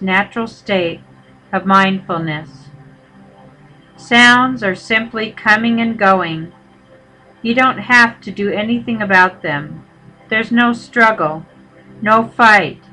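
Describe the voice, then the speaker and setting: A woman guides a meditation in a bright, clearly forward voice at a middling pitch. She gives measured, unhurried instructions as a teacher would, leaving pauses between phrases. Music plays behind her. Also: poor audio.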